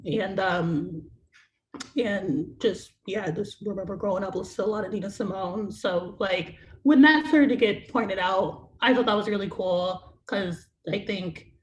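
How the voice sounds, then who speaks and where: A young adult singer with a naturally high-pitched voice, talking casually in an interview and filling every pause with "like" and "you know what I mean". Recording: echoey room, faint noise.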